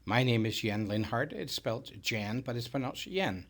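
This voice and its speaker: A man's voice: mid-range voice, normal speed, fairly bright tone. A middle-aged man from Denmark with a Danish accent, a native Danish speaker, delivering a scripted sales presentation. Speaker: business owner and presenter.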